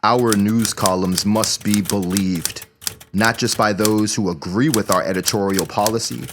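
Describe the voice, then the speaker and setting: Low-voiced measured male chronicler, noisy track. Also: fairly even intonation. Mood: measured, formal. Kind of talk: audio play narration.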